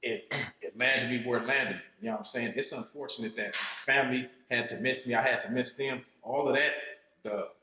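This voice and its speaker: A man's voice: medium pitch, fairly even intonation, bright, forceful tone. Reflective man in a roomy studio. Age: 30s. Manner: slow, reflective, peppered with "you know what I'm saying". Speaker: guest. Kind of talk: casual storytelling interview. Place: podcast studio room.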